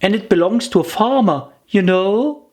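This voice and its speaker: A woman's voice: medium pitch, animated, moderate pace, a little mellow, voice pushed up, close to an everyday voice. A woman voicing a polite, curious young girl in a children's audiobook, earnest and chatty in manner. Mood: eager.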